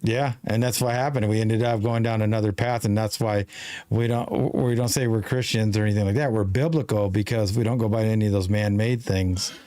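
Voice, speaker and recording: A man in his fifties hosting a live talk show, talking in a rambling, halting way with many restarts. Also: fairly low pitch, fairly even intonation, dark tone, no echo. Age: 50s. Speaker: show host. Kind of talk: casual live-stream conversation.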